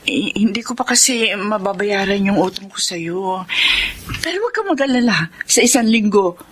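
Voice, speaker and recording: A woman's voice: medium pitch, animated, normal speed, husky. An actress with a Filipino accent playing an anxious, doting, sickly mother in a radio drama, hesitant and pleading. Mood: apologetic, nervous.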